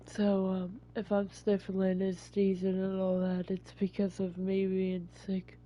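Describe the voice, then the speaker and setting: A woman's voice: medium pitch, completely flat delivery, unhurried, dark tone. A woman with a bad cold, talking in a rambling, apologetic way as she introduces a video game. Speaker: gaming YouTuber. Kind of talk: casual let's-play intro. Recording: dull, lo-fi home recording.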